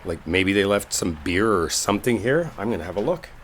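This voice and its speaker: A man acting a brash, sarcastic young guy in a roleplay audio drama, with a low voice that swings in an animated way. He talks casually and swears, like an exasperated coworker bickering one-on-one, over faint background noise. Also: brisk. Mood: curious, pleased.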